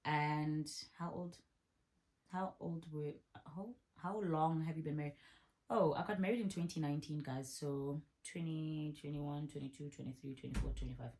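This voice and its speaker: A woman, chatting casually as she answers viewer questions in a vlog. She talks in a relaxed way and repeats herself for emphasis. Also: sits low, moderate pace. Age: 30s. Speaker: vlogger.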